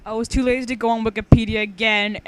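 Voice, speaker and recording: A woman's voice: fairly high pitch, little pitch movement, fast. Informal young woman on a noisy homemade recording. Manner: informal, giggly, stumbling over words. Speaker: amateur reader of own fan fiction. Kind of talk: casual read-aloud with banter.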